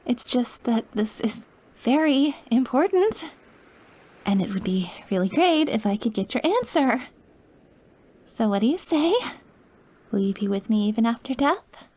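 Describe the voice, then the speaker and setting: A woman's voice: fairly high pitch, very animated, measured pace, long pauses, darkish tone, slightly rough, exaggerated voice. A woman playing an obsessive, lovesick, dying young witch in an intimate ASMR roleplay, with a clingy, pleading manner. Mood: apologetic, coaxing.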